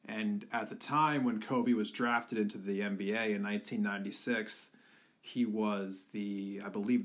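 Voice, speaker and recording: A young man from the Philadelphia area talking earnestly in a personal tribute, halting with many fillers. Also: on the low side, creaky. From Philadelphia area, USA. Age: young adult.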